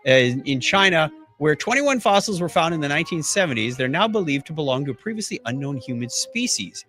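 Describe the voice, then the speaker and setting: A man's voice: fairly high pitch, lively intonation, brisk, sharp tone, soft background music. A man hosting a podcast in a casual, jokey, conversational way. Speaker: podcast host.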